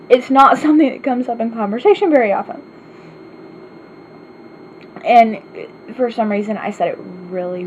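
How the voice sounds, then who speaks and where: A woman with an Arkansas accent, chatting casually and rambling in a self-deprecating way in a home vlog. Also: a little high. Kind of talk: casual vlog answering questions. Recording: slightly dull home recording with faint hiss.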